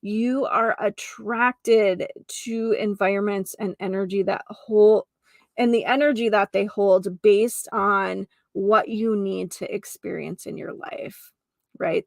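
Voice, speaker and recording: A woman's voice: medium pitch, vocal fry, dry recording, studio quality. A woman hosting a solo healing podcast, speaking to listeners in an earnest, effusive manner and stressing key words. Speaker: host of a holistic healing podcast; geomancer and energy healer.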